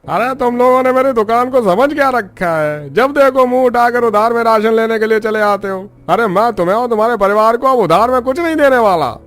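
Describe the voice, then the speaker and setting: Man playing a high-voiced grumpy cartoon grocer. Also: a little fast, few pauses, a bit bright. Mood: annoyed scolding. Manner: scolding, bossy. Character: grumpy village grocer man, gruff but relenting.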